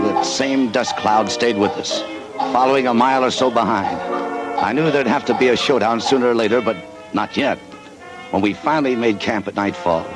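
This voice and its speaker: A man's voice: fairly low pitch, lightly acted, poor audio. An actor playing a tough, hard-boiled adventurer who narrates his own story in clipped 1940s radio style. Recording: old radio broadcast with music bed.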